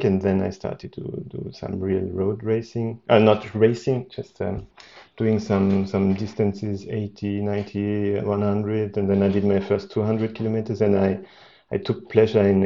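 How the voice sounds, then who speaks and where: Man, 40s, guest, halting and full of fillers, low gravelly voice. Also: level tone, dark tone, highs cut off. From Austria. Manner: hesitant, many fillers and restarts.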